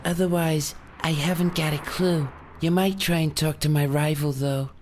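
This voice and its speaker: A woman's voice: deep voice, fairly even intonation, moderate pace, darkish tone, faint music behind, lightly acted. A woman voicing a matter-of-fact client who runs a dairy in a parody noir radio play. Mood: dry.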